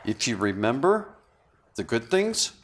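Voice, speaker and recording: A man's voice: mid-range voice, sing-song. Man reading as a remorseful, earnest man, noisy recording. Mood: solemn, preaching. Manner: halting, confessional, earnest. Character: remorseful, earnest, philosophizing man named Frank. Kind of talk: read-through of a stage drama script. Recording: clearly noisy room recording.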